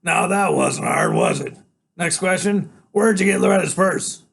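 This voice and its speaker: A man's voice: high voice, huge pitch swings, measured pace, vocal fry, fairly bright tone, slightly rough, pitched above the performer's own voice, exaggerated voice. A man voicing a big, hairy, menacing biker gang boss in a storybook, bullying and slangy. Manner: bullying, slangy, swinging between barking and taunting.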